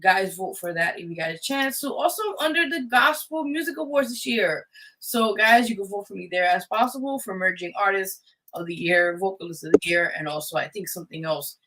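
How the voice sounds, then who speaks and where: A woman's voice: medium pitch, fairly quick, pushed and bright, a little hiss or hum, low-quality recording. A woman hosting a live show, giving casual announcements and addressing listeners informally as a group. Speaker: radio show host.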